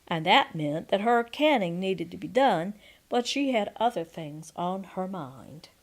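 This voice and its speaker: A woman in her sixties reading a folksy memoir aloud as a storyteller, expressive and deliberate, with pauses. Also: mid-range voice. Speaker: storyteller and audiobook narrator. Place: quiet room at home, indoors. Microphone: microphone on a stand before her, seated.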